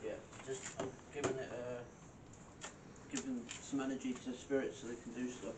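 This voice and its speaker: A jokey man calls questions out to spirits in a bare stone room. He stands well back from the mic, so his voice comes through thin and echoey. A steady hiss sits under everything he says. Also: mid-range voice, moderate pace, far from the mic. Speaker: paranormal investigator. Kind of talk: casual chat, calling out to spirits. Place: stone room in an old mine building at night.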